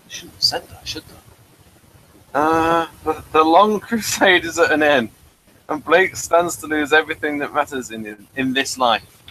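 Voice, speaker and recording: Bright-voiced man chatting over background noise. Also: on the high side, moderate pace, rough recording. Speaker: host of an audio drama review show. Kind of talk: casual review chat.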